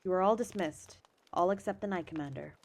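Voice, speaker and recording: A woman's voice: lowish voice, a little fast, a little mellow, lightly acted. A woman playing a commanding noble lady. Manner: formal and authoritative. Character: commanding noblewoman giving orders.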